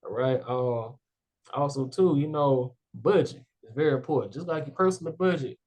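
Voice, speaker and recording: A man's voice: medium pitch, moderate pace, darkish tone. Easygoing man hosting, full of fillers. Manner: rambling, heavy "like" and "you know" fillers, upbeat. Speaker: podcast host. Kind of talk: casual interview chat.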